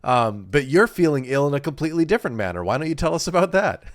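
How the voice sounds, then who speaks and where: A man's voice: on the high side, sing-song, fast talker, dry recording, clean studio sound. A man in his thirties co-hosting a casual podcast, nasal and congested from a cold, rambling with fillers and laughs. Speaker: podcast co-host. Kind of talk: casual chat.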